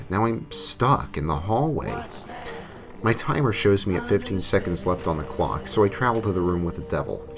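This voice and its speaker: A man reading a scripted first-person creepypasta story as its narrator. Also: deep voice. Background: music.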